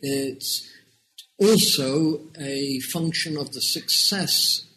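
A man with a British accent, answering interview questions as an education researcher in a measured, deliberate way. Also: on the high side, pushed and bright, slight background noise, poor audio. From United Kingdom.

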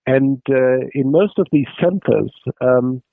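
A man's voice: medium pitch, takes it slowly, gravelly, slightly rough, dry recording. Man on a tinny phone line. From Germany. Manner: hesitant, many fillers and restarts, explanatory. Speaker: expert guest. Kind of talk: radio interview answers.

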